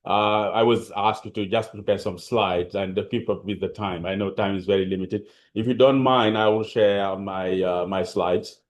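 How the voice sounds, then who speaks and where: Man, Senegalese, French accent, lowish voice, halting webinar talk, video call. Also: steady tone. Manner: hesitant, halting, many fillers and restarts. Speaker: panelist. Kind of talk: webinar presentation.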